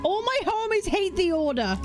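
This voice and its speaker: A woman's voice: very high voice, sing-song, moderate pace, music in the background. A woman streamer giving casual reaction commentary on a game story.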